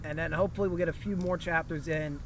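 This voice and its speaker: A man's voice: on the high side, fairly even intonation, quick pace, noisy background. A man hosting a podcast in casual chat, recorded outdoors on location. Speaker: podcast host.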